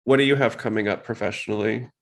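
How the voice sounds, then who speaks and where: A man's voice: on the low side, steady tone, fast talker. A man hosting a podcast in a casual, inquisitive, conversational way. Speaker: podcast host.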